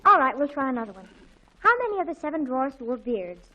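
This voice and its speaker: A woman acting an excitable teenage daughter with an American accent, gushing and melodramatic, in a 1940s radio sitcom. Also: high-pitched, quick pace, muffled recording. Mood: bossy. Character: excitable, dramatic teenage daughter in a family comedy.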